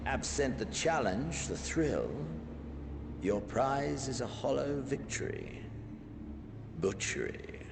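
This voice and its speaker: A man's voice: medium pitch, very animated, vocal fry, background noise, full character voice. An actor playing a cold, intense noble male warrior obsessed with the thrill of the hunt. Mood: menacing. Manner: cold, intense, deliberate menace. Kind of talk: video game cutscene acting. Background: orchestral game score.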